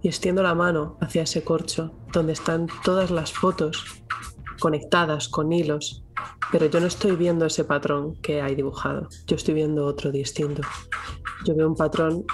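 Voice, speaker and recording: Even-toned woman narrating her game character. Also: deep voice, moderate pace, music in the background. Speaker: tabletop game player. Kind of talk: first-person in-character narration.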